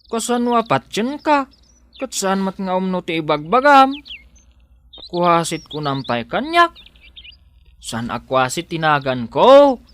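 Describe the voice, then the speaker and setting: A woman's voice: lowish voice, big pitch swings, measured pace, pauses often, a bit bright. A woman with a Filipino accent voicing a simple, playful villager in an audio drama, drawling and bantering as she teases back. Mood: puzzled, teasing. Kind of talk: Ilocano audio drama acting.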